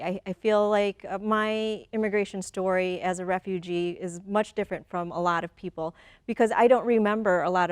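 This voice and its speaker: Smooth-voiced woman in her forties. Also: mid-range voice, no echo, studio quality. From Michigan, USA. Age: 40s. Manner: thoughtful, repeats words as she gathers thoughts, laughs easily. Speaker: guest. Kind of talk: conversational interview.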